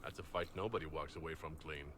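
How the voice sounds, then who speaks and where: An actor voicing a composed, direct heroic leader with a dry kindness in a video game. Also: male voice, very deep voice, steady tone, fast, very noisy. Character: composed, plain-spoken heroic male leader. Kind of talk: video game dialogue acting.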